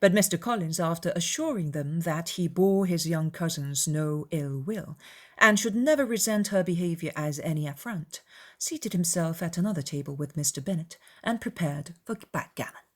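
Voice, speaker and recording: A woman narrating a classic novel as an audiobook, in a measured, formal storytelling manner. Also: on the low side, a little mellow. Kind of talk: dramatized literary narration.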